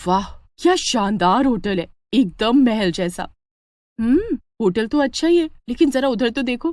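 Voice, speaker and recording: A woman voicing a lively, food-loving young village woman, chatty and eager, in a storybook tale. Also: fairly high pitch, dry recording, studio quality, voice pushed up, close to an everyday voice. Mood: amazed.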